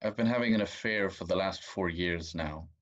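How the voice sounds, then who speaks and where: A man's voice: deep voice, normal speed, fairly bright tone. A young man playing a guilty, anxious, evasive cheating husband in a video-call play. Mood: guilty, confessing. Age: young adult. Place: small room indoors. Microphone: video call, webcam. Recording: dull lo-fi video-call audio.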